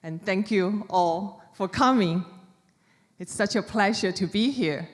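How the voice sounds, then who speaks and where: A woman from China with a Chinese accent gives a prepared public talk as an author, speaking deliberately in short, measured phrases. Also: mid-range voice. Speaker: author giving a book talk. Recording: reverberant hall with long echo.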